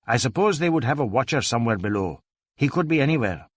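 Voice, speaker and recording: A man's voice: medium pitch, animated, fast talker, breathy edge, no room sound, clean studio sound. A man voicing a subdued, anxious Indian businessman in an audiobook, speaking with careful dignity in a small, hollow voice. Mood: nervous explaining.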